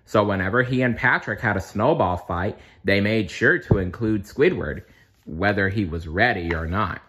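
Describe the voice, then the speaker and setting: A man reading a children's storybook aloud as narrator, in an expressive, dramatic storytelling manner. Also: fairly low pitch, normal speed. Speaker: storybook reader.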